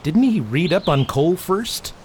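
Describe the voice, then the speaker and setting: A man's voice: sits high, sing-song, normal speed. A man acting as a haunted, regretful war veteran in an audio drama, subdued and guarded. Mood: weary, regretful. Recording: noisy track with chirping birds.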